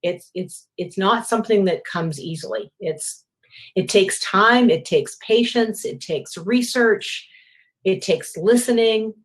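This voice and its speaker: A woman's voice: mid-range voice, takes it slowly. An older woman, a retired journalism professor, answering interview questions thoughtfully and often repeating words as she thinks.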